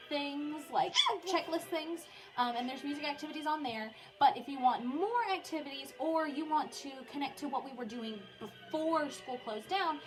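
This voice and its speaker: A woman's voice: sits high, poor audio. A young adult woman, a music teacher, speaking informally as she reads and talks to her pupils. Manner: informal, often breaking off to soothe a small child. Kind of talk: reading a biography aloud for pupils, with casual asides.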